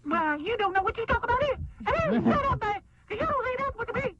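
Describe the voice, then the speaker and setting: A man playing a shrill, cranky old grandma. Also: sing-song, highs cut off, heavy background noise. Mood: bickering. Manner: shrill, bickering, drawn-out complaints. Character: cranky elderly grandmother, stubborn and quarrelsome. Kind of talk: comedy radio sketch.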